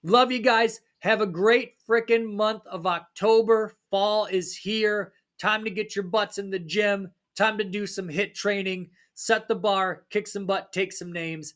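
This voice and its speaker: Man, fitness podcast host, slightly raspy voice, fairly high pitch, upbeat pep-talk tone. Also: steady tone, unhurried, few pauses, fairly bright tone. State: slightly raspy from a recent cold. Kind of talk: casual motivational podcast talk.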